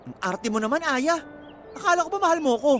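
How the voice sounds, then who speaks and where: An actor playing a pushy, sweet-talking young Filipino college boyfriend in a radio drama, coaxing and insistent. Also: male voice, extremely high pitch, lively intonation, quick pace, a bit bright, slight background noise. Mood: sulky, annoyed. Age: young adult. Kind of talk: Tagalog radio drama acting.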